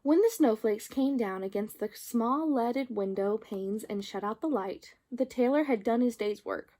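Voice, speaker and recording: Lady reading a kids storybook out loud, highish voice, expressive narration. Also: measured pace. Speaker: storyteller reading children's books aloud.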